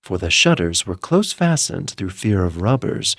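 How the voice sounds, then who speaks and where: A man's voice: low voice, sing-song, creaky, a bit bright, no echo, studio quality, exaggerated voice. Man acting a nervous Gothic madman, wildly swooping delivery. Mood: cunning, intense. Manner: theatrical, deliberate, emphatic pauses and repetitions. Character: nervous, obsessive madman confessing murder, insisting he is sane. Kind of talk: dramatic Gothic horror story narration.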